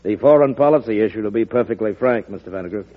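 Guy acting polite nervous political aide, old radio play, husky, muffled sound. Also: on the low side, fast talker, natural voice. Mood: deferential, uneasy. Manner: polite, hesitant, pleading. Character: deferential, anxious political aide. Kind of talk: 1940s radio drama acting.

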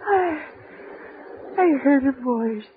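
An old widow, grieving. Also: female voice, high voice, animated, slow. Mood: anguished. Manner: sorrowful, confiding. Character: old grieving Irish widow telling her sorrow. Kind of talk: old-time radio drama narration. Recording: tinny old radio broadcast with faint hiss.

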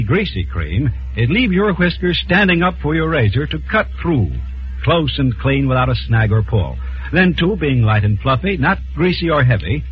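An American man as a 1940s radio commercial announcer, selling in punchy slogans. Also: on the high side. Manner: punchy, slogan-driven salesmanship. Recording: muffled old radio broadcast with music.

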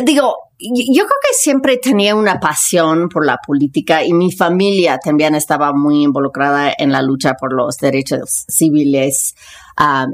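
A lower-pitched woman in her fifties with an American accent tells her life story as a podcast guest. Her tone is casual and frank, full of slang. English phrases slip in because it is her first language. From United States.

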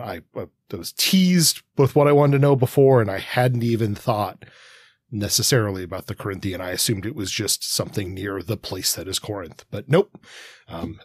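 A man's voice: on the low side, slightly rough, no room sound. A man co-hosting a podcast discussion, talking as a keen, enthusiastic fan. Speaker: podcast co-host. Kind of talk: podcast discussion with prepared remarks.

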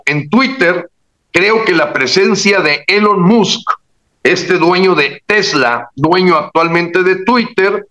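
A man's voice: high-pitched, a bit bright. A man from Mexico, a guest giving indignant political commentary in casual chat, often restarting his phrases. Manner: emphatic, indignant, with frequent restarts.

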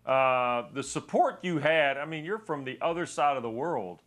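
Man co-hosting, halting start. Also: medium pitch, lively intonation, fairly bright tone. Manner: halting starts, repeats words. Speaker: sports broadcaster, co-host. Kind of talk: interview question.

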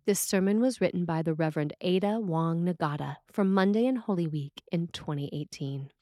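A woman reading a scripted sermon in a measured, deliberate way. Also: on the low side, mellow, no room sound, clean studio sound. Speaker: sermon reader.

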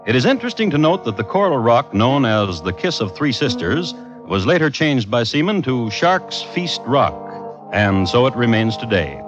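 A man hosting and narrating a 1950s radio crime series in a formal, wry, measured style. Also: medium pitch, sing-song. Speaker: host and narrator. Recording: dull old radio recording with music.

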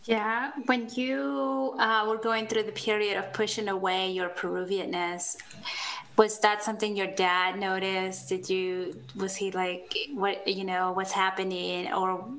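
Curious woman interviewer, mid-pitched. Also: little pitch movement, measured pace, fairly bright tone, rough recording. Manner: informal, rephrases questions, curious. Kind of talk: conversational interviewing.

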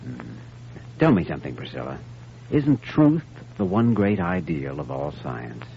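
An actor playing an earnest, compassionate young doctor with a probing manner, in vintage radio drama style. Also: male voice, low voice, huge pitch swings, a little slow, creaky, full character voice. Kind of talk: 1950s radio drama acting. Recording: muffled, faintly noisy vintage radio recording.

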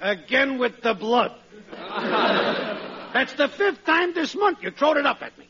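An actor playing a jealous, wisecracking pal in a 1940s radio sitcom. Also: male voice, very high voice, very animated, sharp tone, exaggerated voice. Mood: scornful, needling. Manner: scornful, sarcastic, drawn-out. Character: jealous, scornful working-class pal, a wisecracking riveter. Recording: muffled old radio, studio audience behind.

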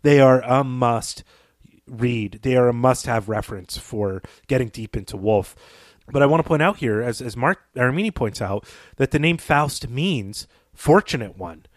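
A man's voice: mid-range voice, lively intonation, moderate pace, no room sound. A man co-hosting a podcast, offering thoughtful, conversational literary analysis. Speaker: podcast co-host.

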